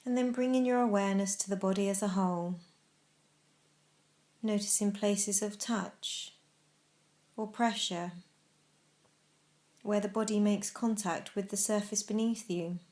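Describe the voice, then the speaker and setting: Woman doing a guided meditation, mid pitched voice, gentle measured instructions w pauses. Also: steady tone. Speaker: mindfulness practice guide.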